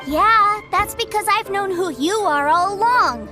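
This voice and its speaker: An innocent young boy in a video game dub. The voice is very high and childlike, cheerful, trusting and earnest. Clear background music runs beneath it. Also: lively intonation, measured pace. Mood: innocent, matter-of-fact. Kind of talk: English game dub acting.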